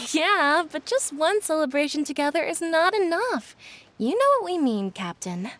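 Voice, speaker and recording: A woman's voice: sits high, big pitch swings, measured pace, fairly bright tone, slight background noise, exaggerated voice. An actress playing an eager, cheerful young female sailor in a video game, chummy, casual and bubbly. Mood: sheepish.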